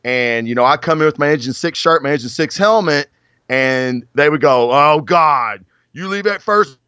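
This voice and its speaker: A man's voice: fairly high pitch, moderate pace, pushed and bright, clean tone, dry recording. A man in his forties, a firefighter guest, telling stories in a rambling, casual way. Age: 40s. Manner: rambling storytelling with many fillers. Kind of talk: casual podcast chat. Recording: dull dry lo-fi audio.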